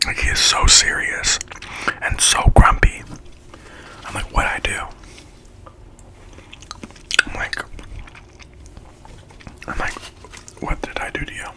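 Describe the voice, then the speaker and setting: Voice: slow, long pauses, pushed and bright. Chatty whisperer telling a story. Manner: chatty, slangy, acts out quoted lines. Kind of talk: whispered ASMR storytime while eating.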